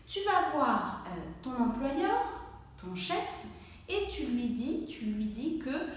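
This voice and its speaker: A woman teaching a language lesson, speaking slowly and clearly, acting out coughs and sneezes and encouraging the viewer directly. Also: high-pitched, sing-song, a little hiss or hum. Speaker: French teacher. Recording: very echoey room, voice from far off the mic.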